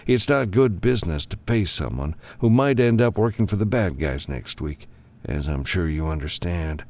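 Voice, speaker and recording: A man's voice: low-pitched, animated, moderate pace, a little mellow. A man voicing a formal, self-assured government minister in an audiobook, polished and officious. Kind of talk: audiobook character dialogue.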